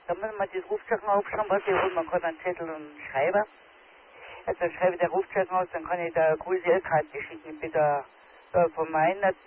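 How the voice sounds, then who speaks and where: A man with a Tyrolean accent, his voice a touch higher than most, rambles in a homely way over an amateur radio contact. He sounds thin and tinny, as if over a narrow radio channel. A crowd murmurs behind him. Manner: rambling, homely, ends phrases with a tag question. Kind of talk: casual radio contact chat. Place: outdoors in a garden. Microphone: handheld radio and phone held close.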